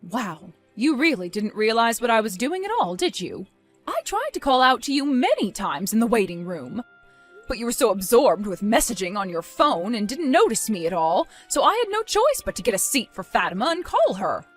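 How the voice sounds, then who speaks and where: A woman's voice: fairly high pitch, very animated, normal speed, soft background music. A woman voicing a stern, disappointed mother nearing retirement age in a dramatized story, scolding with weary firmness. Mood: reproachful.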